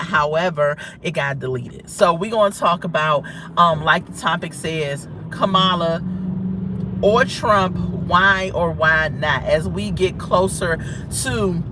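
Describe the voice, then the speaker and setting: An American woman talking casually and frankly as a livestream host, in an informal style that often repeats itself. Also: lowish voice. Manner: informal, outspoken, repeats herself. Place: inside a moving car. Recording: noisy car ride, distant mic.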